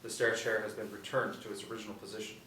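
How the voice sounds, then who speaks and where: Male narrator for script read-through, lower register, stage directions, echoey room recording. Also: little pitch movement, fast talker, sharp tone. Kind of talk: scripted table reading, narrating screenplay. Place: small indoor room. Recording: roomy, distant mic, light room noise.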